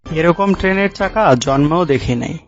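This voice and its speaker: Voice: medium pitch, moderate pace, slight background noise, lightly acted. A performer voicing a skeptical, teasing cartoon listener who questions and interrupts with sarcasm. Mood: skeptical, mocking. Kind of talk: comic cartoon dialogue. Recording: dull lo-fi audio, clear music behind.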